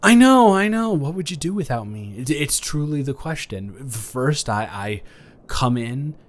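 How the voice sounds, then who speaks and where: A man's voice: medium pitch, animated, a little slow, close to an everyday voice. A man improvising a romantic audio roleplay as a flirty, playfully boastful neighbor, talking straight to the listener in a teasing, stammering, rambling way.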